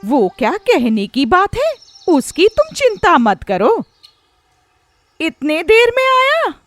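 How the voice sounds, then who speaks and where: A woman plays a cunning, commanding wife in a cartoon. Her high voice moves with lively swings at a slow pace, over soft music. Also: no room sound, full character voice. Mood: admiring, conspiratorial. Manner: commanding, animated. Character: bossy, cunning wife ordering servant around. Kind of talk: Hindi cartoon story acting.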